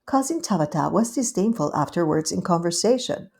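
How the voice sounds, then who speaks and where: A woman reading a children's storybook aloud in a gentle, expressive way. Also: fairly low pitch, brisk, creaky, breathy edge. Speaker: storybook reader. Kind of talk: scripted narration of a children's story.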